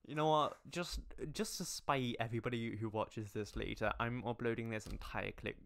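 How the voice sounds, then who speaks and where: A man's voice: mid-range voice, moderate pace, creaky, fairly bright tone. A man streaming a mocking read-aloud of fan fiction, with sarcastic asides, stumbling over words, close on a desk microphone.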